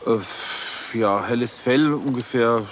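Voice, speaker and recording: A man's voice: mid-range voice, slow, slight background noise, close to an everyday voice. An actor playing a plain, hesitant junior policeman in a TV crime comedy. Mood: unsure.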